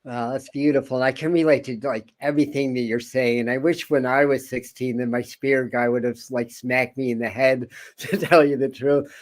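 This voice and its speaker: A man's voice: medium pitch, fairly even intonation, normal speed. A man hosting an interview channel, talking casually in a rambling way with many stammered repeats and chuckles. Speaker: host.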